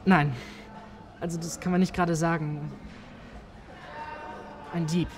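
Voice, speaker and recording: A man's voice: on the high side, little pitch movement, a little slow, music in the background, close to an everyday voice. A young man playing an earnest, awkward amateur detective in film dialogue, speaking politely and hesitantly. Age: young adult.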